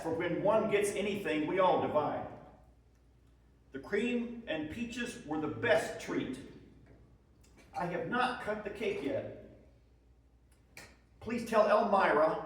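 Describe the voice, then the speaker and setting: A man's voice: high-pitched, voice pushed up. A man playing a homesick, disgruntled Civil War soldier, reading his letters aloud emphatically in a dramatic reading. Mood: fond, grateful. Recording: echoey hall, distant microphone.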